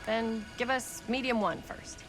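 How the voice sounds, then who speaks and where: A woman's voice: on the high side, steady tone, a little slow, natural voice, poor audio. A woman playing a bossy, driven young amateur film director in a horror game. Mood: determined. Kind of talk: naturalistic horror game dialogue. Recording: loud music behind the voice.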